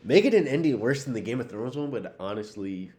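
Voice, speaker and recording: A man's voice: sing-song, brisk, warm tone. An American man co-hosting a podcast, giving blunt opinions on TV in casual, profane slang. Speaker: podcast co-host. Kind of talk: casual TV discussion chat. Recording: faint background noise and rumble.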